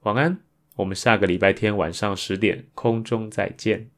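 A man's voice: lowish voice, steady tone, normal speed. A man with a Taiwanese Mandarin accent talks casually and reflectively as a solo podcast host.

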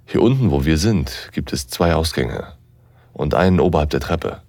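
A man playing a dominant, seductive professor in an intimate audio drama, speaking slowly and deliberately, at times hushed and breathy. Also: a bass voice, expressive, no room sound. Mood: aroused, hushed. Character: dominant male university professor, seductive, teasing, commanding lover. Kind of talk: intimate second-person erotic audio drama narration.

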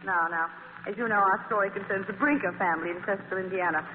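An actress playing an ambitious, guarded magazine editor in a 1940s radio play, crisp and commanding with a dry, sardonic manner. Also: female voice, medium pitch, quick pace, natural voice. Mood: brisk, businesslike. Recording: hissy, tinny old radio broadcast, hall reverb.

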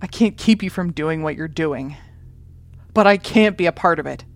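A woman's voice: sits low, moderate pace. Guarded woman revolutionary. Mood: defensive. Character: conflicted woman revolutionary, loyal to her sister, guarded. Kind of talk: naturalistic audio drama acting.

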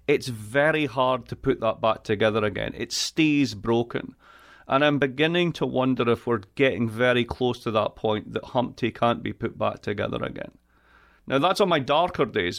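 A man with an east coast Scottish accent, a guest on an interview show, talking in a wry, joking way with quick asides. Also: mid-range voice, a bit bright. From Scotland. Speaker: guest, economist. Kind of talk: lively podcast interview.

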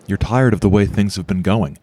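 A man's voice: on the low side, fairly quick, noisy background, close to an everyday voice. An actor playing an earnest male spiritual guru, a Vietnam veteran, preaching solemnly to recruits in an audio play. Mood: earnest, persuasive. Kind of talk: earnest speech to followers.

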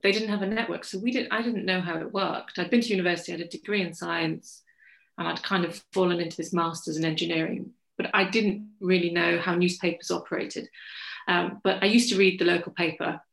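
A woman from England, a journalist telling her story in a forthright, lively interview. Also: fairly low pitch, brisk, vocal fry. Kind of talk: candid conversational storytelling. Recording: echoey room.

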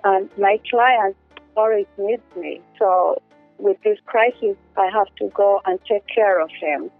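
A woman's voice: mid-range voice, measured pace, faint music behind. A woman in her forties, from Uganda, a home care worker speaking plainly and earnestly about her work. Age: 40s. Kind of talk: interview clip. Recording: phone-line sound.

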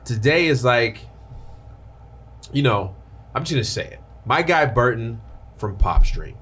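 A man hosting his podcast, chatting loosely and excitedly with a guest, full of fillers and restarts. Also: medium pitch, a little slow, breathy edge. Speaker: podcast host. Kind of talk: casual podcast interview.

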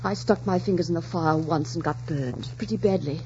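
A woman's voice: low voice, a little fast, lightly acted. An actress playing a haughty, wounded noblewoman in a 1940s radio drama, theatrical, scornful and impassioned. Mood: bitter. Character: haughty, defiant widowed English noblewoman, sharp-tongued and wounded. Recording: muffled, noisy 1940s radio recording.

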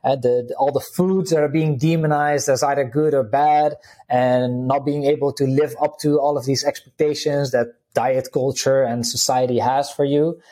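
A man's voice: mid-range voice, fairly even intonation, moderate pace, warm tone. A man in his thirties from the Netherlands with a Dutch accent, a guest talking casually in an interview, full of fillers and restarts. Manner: chatty, many fillers and restarts, laughs easily. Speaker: guest, vegan health coach.

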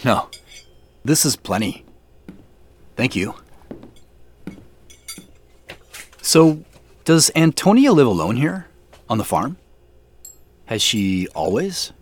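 A man's voice: mid-range voice, very animated, slow, long pauses, slight background noise. An actor playing a polite, reserved businessman in a naturalistic audio drama. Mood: careful, curious. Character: polite, reserved businessman revisiting a farm from his youth. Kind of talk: naturalistic audio drama dialogue and first-person narration.

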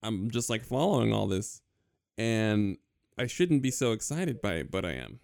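A man co-hosting a podcast in casual chat, talking haltingly with many fillers. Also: lowish voice, sing-song, vocal fry, a little mellow, no echo, studio quality. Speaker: podcast co-host.

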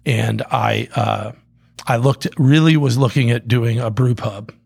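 Gravelly husky guy, middle-aged, rambling on, lots of um and uh, dry close sound. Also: medium pitch, unhurried, darkish tone, no echo. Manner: rambling, many restarts and fillers. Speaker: guest, coffee company co-founder. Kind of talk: casual storytelling.

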